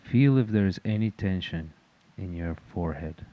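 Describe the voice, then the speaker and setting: A man leading a guided meditation in a calm, measured, instructional manner. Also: deep voice, dull audio.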